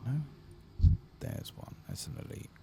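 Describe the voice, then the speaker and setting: A soft-spoken man giving ASMR gameplay commentary, hushed and halting as he muses to himself. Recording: loud background noise and game ambience.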